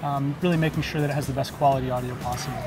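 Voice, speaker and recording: A man's voice: medium pitch, steady tone, very fast. A man explaining a product informally and agreeably as a company representative at a trade show booth. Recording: music playing in the background. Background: music.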